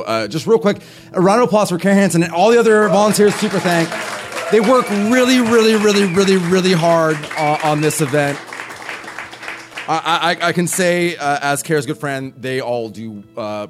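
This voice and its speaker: A young man from Oregon telling a personal story to a live audience, nervous, stammering and restarting. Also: mid-range voice. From Oregon, USA. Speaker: storyteller on stage.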